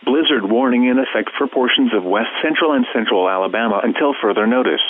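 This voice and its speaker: A man's voice: mid-range voice, fast talker, background noise. A synthetic male voice with an American accent, formally and impersonally reading scripted emergency weather alerts like a radio announcer. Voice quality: synthetic text-to-speech voice. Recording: muffled, phone-like weather radio broadcast.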